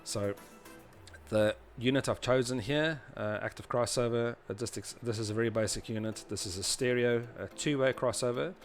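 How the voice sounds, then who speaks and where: A man presenting an informal audio engineering tutorial, explaining step by step in a halting way and often rephrasing himself. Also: medium pitch, faint music behind. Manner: hesitant, halting delivery with repeated rephrasing. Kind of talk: informal explanatory tutorial narration over diagrams.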